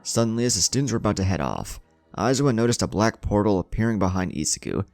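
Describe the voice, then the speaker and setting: A man's voice: lowish voice, big pitch swings, fast talker, darkish tone, no echo, faint music behind. A man reading a scripted story as a narrator, with dramatic delivery. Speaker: narrator of a fan fiction story.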